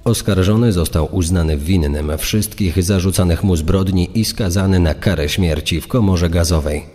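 A man with a deep voice reads scripted documentary narration. His words trail off in a long reverb. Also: moderate pace, mellow. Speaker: secondary narrator.

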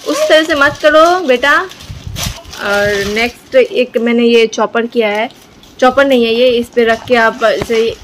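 A woman's voice: fairly high pitch, slow pace, slight background noise, rough recording. A young woman chatting casually to viewers at home while she unboxes groceries with her child, in a loose, repetitive way. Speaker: vlogger and mother. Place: indoors at home.

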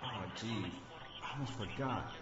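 An actor playing an easygoing, forgetful angel messenger in a radio drama. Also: male voice, lowish voice, unhurried, a crowd in the background, natural voice. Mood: casual, sheepish. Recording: dull, echoey radio drama, loud music.

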